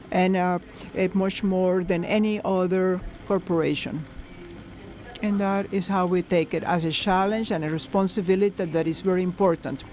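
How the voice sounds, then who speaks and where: A man interpreting a conference panel into English live, halting, with many fillers and self-corrections. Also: high-pitched, darkish tone. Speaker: simultaneous interpreter.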